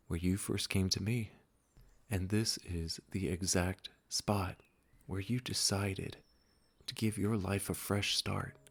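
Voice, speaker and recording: A man playing a gentle, wise supernatural gardener in a comforting audio roleplay, speaking soothingly and reassuringly straight to the listener. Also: low voice, breathy edge, full character voice. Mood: warm, content. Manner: soothing, unhurried, reassuring, with soft chuckles.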